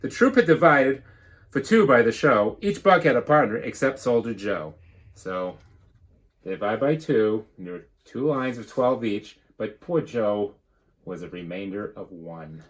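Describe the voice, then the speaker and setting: A man with a very lively, wide-swinging voice reads a picture book aloud. He follows the rhyme with a steady bounce and slips into teacherly asides to explain. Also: fairly low pitch, measured pace. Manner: rhythmic rhyme reading, dropping into quiet explanatory asides. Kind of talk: animated read-aloud storytelling with asides.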